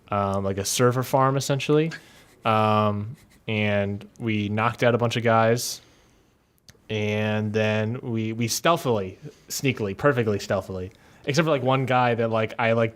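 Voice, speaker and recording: A man giving a casual, unscripted recap among friends, telling it informally and haltingly as he interrupts himself. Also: fairly low pitch, smooth. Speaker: podcast player recapping the story.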